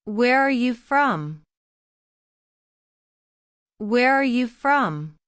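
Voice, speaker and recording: Slow, clear woman reading practice questions. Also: fairly high pitch, animated, a bit bright, no echo, clean studio sound. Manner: reads each line twice, slow and deliberate. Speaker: citizenship test practice narrator. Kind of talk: slow repeated question-and-answer drill.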